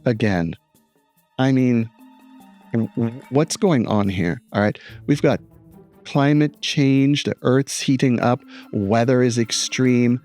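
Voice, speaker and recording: A middle-aged man reading a picture book aloud as a teacher, adding expressive commentary with deliberate emphasis and wry asides. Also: mid-range voice, faint music behind.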